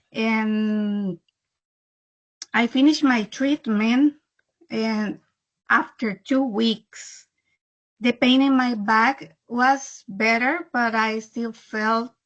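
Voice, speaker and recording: A woman from El Salvador speaks English with a Salvadoran Spanish accent, picking her words slowly and with care as she shares her own experience. Her voice sits a little high. The sound comes through a dull, muffled video-call microphone. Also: fairly even intonation, a bit bright. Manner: slow, careful, slightly halting second-language English. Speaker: webinar speaker. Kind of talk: personal testimony presentation. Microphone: computer microphone on video call.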